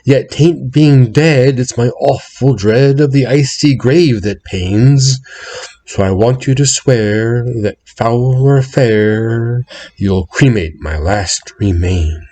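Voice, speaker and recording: Man acting as dying gold prospector, gloomy, pleading, slow drawn-out moaning, mellow timbre, poem recital. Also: medium pitch. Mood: pleading, dreading.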